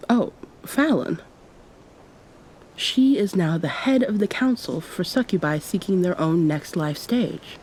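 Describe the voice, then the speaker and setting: Woman playing a soft, low, mellow pirate succubus captain. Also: expressive, measured pace, slight background noise, rough recording. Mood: earnest. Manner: soft, breathy, often near-whisper, formal old-fashioned phrasing. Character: female pirate succubus captain, centuries old, affectionate, teasing, commanding. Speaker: ASMR roleplay performer. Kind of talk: intimate ASMR roleplay.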